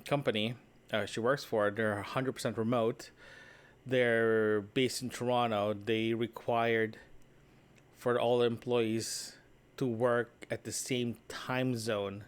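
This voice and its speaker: A man talking casually and conversationally in a solo audio diary, meandering as he goes and often checking in with a tag question. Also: medium pitch. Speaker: solo podcast host and vlogger.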